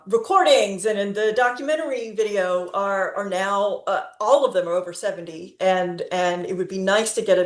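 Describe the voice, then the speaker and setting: A middle-aged woman giving a talk on a video call, her voice ringing in a roomy, echoey space. She speaks in measured stretches and often stops on fillers before picking the thought back up. Her pitch sits in an ordinary middle range. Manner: speaks slowly with frequent fillers and restarts. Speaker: presenter. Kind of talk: conference talk. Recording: roomy echo.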